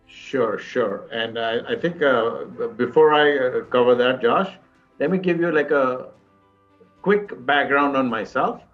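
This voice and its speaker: A man in his fifties with an Indian accent, telling his life story as an interview guest in halting, repetitive phrases. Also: medium pitch. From Mumbai, India. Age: 50s. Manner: halting, repetitive phrasing with frequent you-knows. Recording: dull lo-fi call audio, music underneath.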